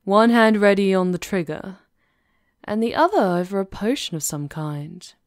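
A woman acting a composed, sly witch conspirator with a measured, knowing manner in an ASMR fantasy roleplay. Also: mid-range voice, animated, no echo, studio quality, lightly acted. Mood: sly, reassuring. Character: composed, sly female witch conspirator plotting against a countess.

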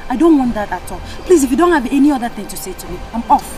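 A woman's voice: fairly high pitch, big pitch swings, over music. An actress playing a firm, no-nonsense young woman in a comedy film. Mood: annoyed. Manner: brisk, firm. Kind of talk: Nigerian comedy film acting.

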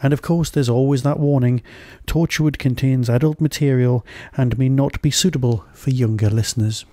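A man's voice: medium pitch, steady tone, normal speed, dark tone, dry recording, clean studio sound. A man hosting a podcast, delivering a review as a monologue and often answering his own rhetorical questions.

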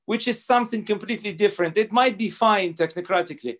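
Guy, middle-aged, panel talk over a video call, restarts words, kinda high voice. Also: fast. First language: Russian. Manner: earnest, argumentative, repeats words as he restarts. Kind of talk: panel discussion remarks. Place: home room indoors.

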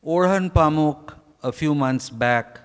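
Slow, clear-voiced Indian man in a hall. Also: a little high. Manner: halting, deliberate, in short phrases. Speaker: author addressing an event. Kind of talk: formal prepared speech from notes. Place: stage in a large hotel ballroom. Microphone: handheld microphone. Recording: reverberant hall, live stage microphone.

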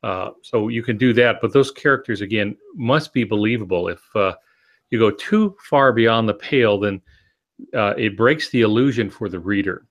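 An older man in his sixties speaks in a fairly low voice as a podcast co-host. He explains his ideas instructively over a video call from his home office. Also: moderate pace. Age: 60s. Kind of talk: explanatory podcast talk on writing.

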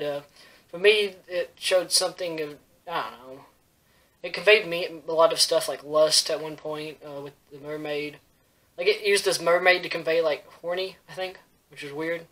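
A young man giving a casual movie review to camera in a bedroom, halting, rambling and self-deprecating. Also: high-pitched, a bit bright. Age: young adult. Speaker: host of a movie review show. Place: small bedroom, indoors.

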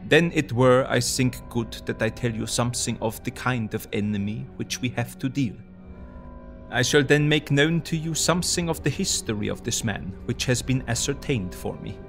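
An actor playing a grave, courteous foreign professor who hunts vampires, speaking formally and solemnly in an audio drama. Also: male voice, medium pitch, lightly acted. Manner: formal, solemn, quaintly phrased.

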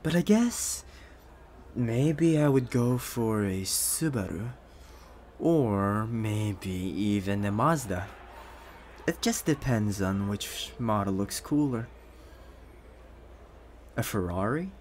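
Rich possessive boyfriend for ASMR roleplay, male performer: smooth, coaxing, lowish pitch, noticeable background noise. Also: animated. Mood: doting, coaxing. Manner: soft-spoken, unhurried, coaxing with pet names. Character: rich possessive young boyfriend, smooth, doting, quietly menacing.

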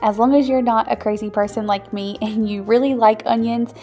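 A woman's voice: a little high, fairly even intonation, a little fast, warm tone. A woman in her thirties hosting a home cooking vlog in a chatty, informal way, narrating casually as she goes. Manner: chatty, informal, laughs easily. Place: home kitchen.

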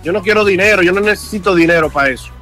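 A man's voice: high voice, racing, a bit bright, faint music behind. A young man, indignant and insistent in a heated phone conversation.

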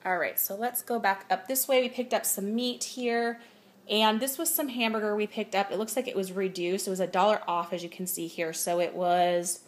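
Chatty woman vlogging a grocery haul. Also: mid-range voice. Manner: chatty, reads prices aloud with fillers. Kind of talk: casual grocery haul chat. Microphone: phone camera held close.

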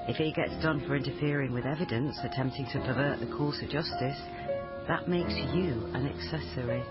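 An actress playing a probing, dry, sceptical police family liaison officer in a naturalistic radio crime drama. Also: female voice, rumbling low, muffled recording. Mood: sceptical, accusing.

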